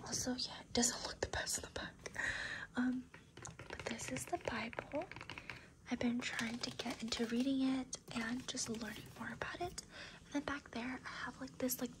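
A young woman whispers through an ASMR desk tour, her voice a little raspy because she is losing it. Her whisper sits fairly high, and she rambles casually with plenty of fillers. Soft tapping and keyboard sounds come in behind her. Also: rough recording. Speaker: ASMR creator.

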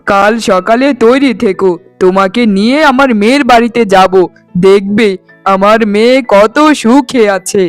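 A man plays a proud, cheerful village father in a cartoon tale. He chatters excitedly in a very high male voice, with quiet music underneath. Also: no echo, natural voice. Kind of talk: cartoon storytelling dialogue.

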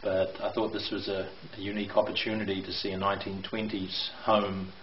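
A man's voice: low voice, flat delivery, brisk. A middle-aged man giving a video tour of a house under renovation, explaining construction details in a hesitant way with many fillers, filmed on a handheld camera in a bare basement. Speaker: renovation contractor. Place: bare brick basement, indoors. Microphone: handheld camera mic. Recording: echoey, dull lo-fi with faint hiss.

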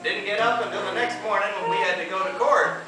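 A young man telling a long comic story in a deadpan drawl while strumming an acoustic guitar on a theater stage. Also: sits high, fast. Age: young adult. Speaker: student performer. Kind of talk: talking-blues storytelling over guitar. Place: theater stage, live audience. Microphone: far camera. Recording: echoey theater from far off.

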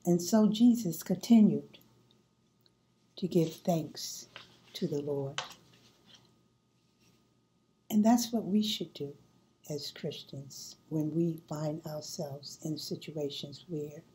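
Older woman, home sermon on webcam, low voice, unhurried with many pauses. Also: mellow, a little hiss or hum, poor audio. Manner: slow and deliberate, pausing often with fillers. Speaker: church speaker. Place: home room, indoors. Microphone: webcam at close range.

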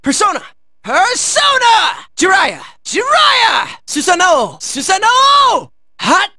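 An American man playing a cocky, excitable teenage boy in a video game, barking out brash, high-strung battle lines. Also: slow, dry recording, studio quality, full character voice. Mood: fired-up, cocky.

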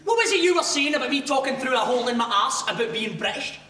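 A man acting as a brash Scottish football fan with a very high-pitched voice for a man. He taunts and swears in loud, mocking banter, recorded at a distance with clear background noise. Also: animated, quick pace, exaggerated voice. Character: brash, taunting Rangers-supporting British unionist man. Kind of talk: heated comic banter. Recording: echoey, distant, noisy room recording.

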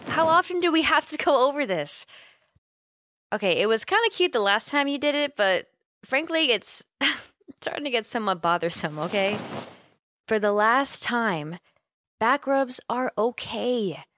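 A young woman voicing an affectionate, teasing, sleepy roommate in a gentle, intimate ASMR comfort roleplay, close to the microphone. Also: on the high side, lively intonation, unhurried, dry recording. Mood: teasing, reassuring. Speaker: roleplay voice actor. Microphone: close microphone, dry.

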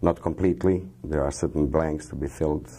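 A man in his fifties from Croatia, answering interview questions in a studio on a lapel mic, halting and measured, with many fillers. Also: extremely low pitch, steady tone, mellow. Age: 50s. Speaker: economic minister, interview guest. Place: dark television studio.

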